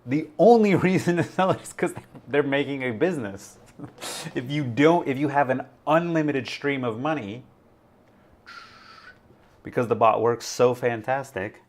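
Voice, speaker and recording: A man casually hosting a livestream, rambling, laughing often and reading out viewer chat, his voice a little raspy. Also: medium pitch, unhurried. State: slightly raspy voice. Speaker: livestream host.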